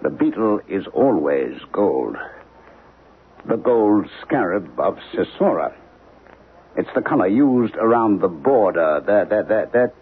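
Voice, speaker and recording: Earnest, haunted professor, low swooping voice. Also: male voice, big pitch swings, slightly rough, exaggerated voice. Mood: searching, intense. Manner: halting, insistent, stammering. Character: earnest, obsessed male professor haunted by ancient memories. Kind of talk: 1970s radio drama acting. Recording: lo-fi narrowband radio recording with hiss.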